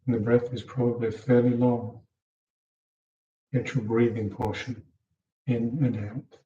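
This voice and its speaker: A man leading a guided meditation as a teacher, giving calm, unhurried instructions in short, soft phrases. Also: mid-range voice, slight background noise. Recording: muffled, echoey room, distant mic.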